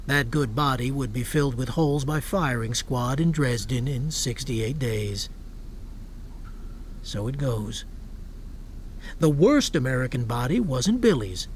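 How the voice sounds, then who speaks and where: A man reads scripted literary narration for a radio play. His delivery is terse and matter-of-fact at a medium pitch, with faint ambience behind him. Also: a little hiss or hum.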